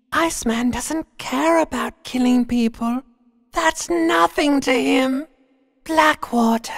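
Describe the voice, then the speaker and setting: A man voicing a menacing, taunting inner voice of the nervous system in a video game, theatrical, breathy and ominous. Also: very high-pitched, in a large hall, full character voice. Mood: taunting, sinister. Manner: slow, breathy, dramatic, ominous.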